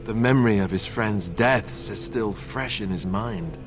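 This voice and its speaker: A man plays a sober companion in a fantasy game. He speaks slowly in a lowish voice, measured and solemn. Music is prominent behind him. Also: close to an everyday voice. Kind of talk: fantasy video game acting. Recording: loud music under the voice.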